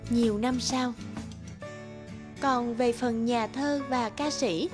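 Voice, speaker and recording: Female storyteller for an animated folk tale, higher register, scripted delivery over prominent music. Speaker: narrator of an animated fairy tale.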